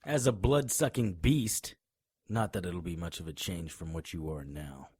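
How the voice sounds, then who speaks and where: A man's voice: low-pitched, moderate pace, a little mellow, husky, no room sound, studio quality. An actor playing a tough, righteous male private detective in an audio drama, confrontational and sardonic. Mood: confrontational, accusing. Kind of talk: hardboiled audio drama acting.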